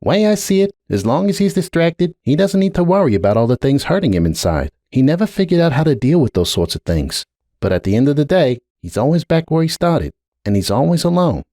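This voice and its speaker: A man's voice: on the high side, sing-song, brisk, mellow, no echo, clean studio sound, voice pushed up. A man voicing a guarded, brooding middle-aged pony mare, a blunt aunt with a terse, world-weary way of talking, in a dramatic story reading. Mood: somber, pensive. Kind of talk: dramatic audiobook character reading.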